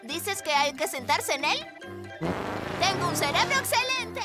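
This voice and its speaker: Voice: extremely high pitch, very animated, measured pace, heavily acted. An actor voicing an impulsive, blustering little bear cub in a children's cartoon, exclaiming dramatically. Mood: bossy, eager. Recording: voice over children's cartoon music.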